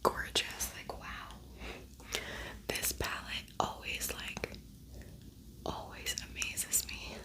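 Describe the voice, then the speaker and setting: A woman speaks in a breathy, unvoiced whisper, as for an ASMR tutorial. Her delivery is soft and intimate, with casual phrasing and gently repeated words. She sounds like a host guiding the listener step by step. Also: slow, pauses often.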